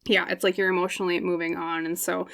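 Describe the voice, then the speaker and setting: Young woman, lowish voice, casual tarot chat, thinks out loud, slight scratch in the throat. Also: level tone, gravelly. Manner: chatty, thinks aloud with many fillers and quick laughs. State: voice getting scratchy. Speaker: tarot reader. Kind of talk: casual pick-a-card tarot reading.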